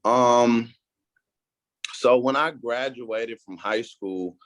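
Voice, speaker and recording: A man's voice: mid-range voice, extremely slow, no echo, rough recording. A young man from Houston, talking casually as a podcast guest in a laid-back, slangy way. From Houston, Texas. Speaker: guest entrepreneur.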